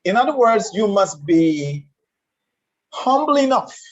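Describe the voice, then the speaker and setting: A man with a Caribbean English accent speaks in a fairly high voice and repeats his points with emphasis, as if lecturing. The recording sounds dull and lo-fi. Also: very animated, slow pace. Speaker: guest speaker on leadership. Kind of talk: conversational discussion.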